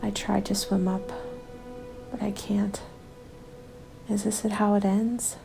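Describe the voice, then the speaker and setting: A woman narrating a scripted first-person ASMR story in a soft, measured, often whispery delivery. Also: mid-range voice, flat delivery, vocal fry, low-quality recording. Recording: background music under the voice. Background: music.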